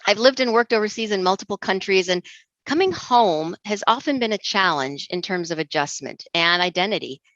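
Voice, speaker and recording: A middle-aged woman from Egypt giving a measured, earnest recorded presentation as an intercultural trainer. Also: medium pitch, animated, a bit bright, dry recording. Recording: dull dry lo-fi recording.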